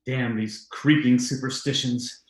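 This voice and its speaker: A man's voice: mid-range voice, steady tone, measured pace, natural voice. A man playing a tormented, guilt-ridden widower in love, melodramatic and brooding, in an old-time radio drama style, with a roomy echo. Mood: irritable. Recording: echoey, roomy sound.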